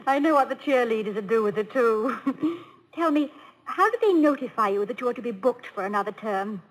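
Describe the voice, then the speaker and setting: An actress playing a poised, playful college president's wife in a 1950s radio comedy-drama, gracious and briskly instructive. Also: female voice, fairly high pitch, expressive. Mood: affectionate teasing. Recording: noisy old radio broadcast recording.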